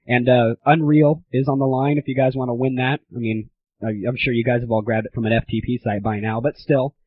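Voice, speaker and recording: A man's voice: mid-range voice, flat delivery, fairly quick, slightly rough, no room sound. A teenage man hosting a casual comedy monologue on a gaming audio show, irreverent, crude and mock-grandiose, on a lo-fi recording. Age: teens. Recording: dull lo-fi 1990s internet audio.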